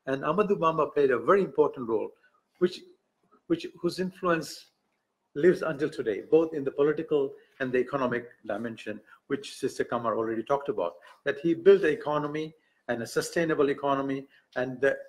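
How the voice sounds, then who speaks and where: A man from Tanzania giving a conference speech, halting and self-correcting, with light humor. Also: mid-range voice. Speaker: speaker at an academic book event.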